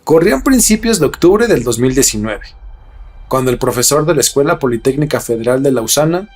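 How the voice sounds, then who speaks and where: A man hosts a podcast, reading scripted mystery narration with dramatic, deliberate phrasing. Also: on the high side, darkish tone, breathy edge. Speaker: podcast host.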